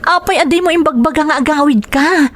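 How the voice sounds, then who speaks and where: A devoted, reassuring wife and mother in an Ilocano-accented radio drama. Her voice sits on the high side. The delivery is expressive and emotive. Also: a little fast. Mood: surprised, concerned.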